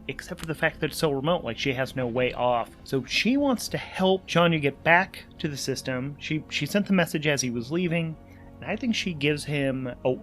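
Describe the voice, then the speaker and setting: A man hosting a solo tabletop role-playing game, narrating and thinking aloud in an informal, animated, enthusiastic way. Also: a little high. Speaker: host and player of a solo tabletop RPG podcast. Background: music.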